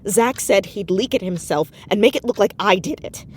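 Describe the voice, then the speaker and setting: A woman's voice: animated, moderate pace. A woman playing a blunt, sardonic, exasperated former actress in a comedic audio drama. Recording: clear noise with low rumble.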